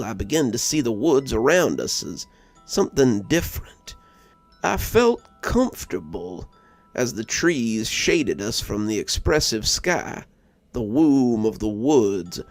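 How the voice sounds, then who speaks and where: A man narrating a scripted horror story in a measured, brooding, dramatic manner. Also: medium pitch, vocal fry, no echo. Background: quiet background music.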